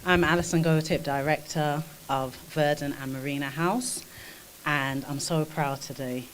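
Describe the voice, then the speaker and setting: Woman director, low voice, emotional and effusive, handheld mic, light hiss. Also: steady tone, measured pace. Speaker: director of a treatment centre. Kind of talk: introductory remarks. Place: conference room at a press event.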